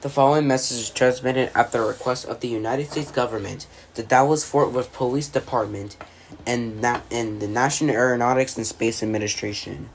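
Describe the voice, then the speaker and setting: An amateur voice actor reading a scripted mock emergency broadcast as an announcer, halting and stumbling with repeated phrases. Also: medium pitch, steady tone, slight background noise.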